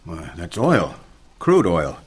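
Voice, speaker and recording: A man's voice: deep voice, wildly swooping pitch, slow, slightly rough, exaggerated voice. An actor playing a courteous, self-assured businessman and geologist in a radio mystery, with a formal, explanatory way of speaking. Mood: polite, defensive. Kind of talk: old-time radio mystery drama acting.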